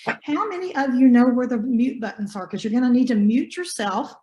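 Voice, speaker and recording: A hoarse woman with a slightly high voice teaches an online class over a video-call mic, folksy and encouraging. She keeps asking her students questions. The sound is roomy and echoey. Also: lively intonation, brisk, a crowd in the background. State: hoarse from bronchitis. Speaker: online business instructor. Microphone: computer mic on a video call.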